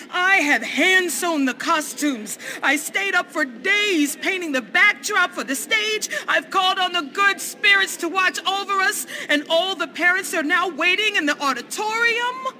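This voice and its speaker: High-voiced, flighty New Age schoolteacher. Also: female voice, animated, a little slow, sharp tone, slight background noise, heavily acted. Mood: flustered pleading. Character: flighty, earnest New Age female drama teacher. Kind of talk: video game cutscene acting.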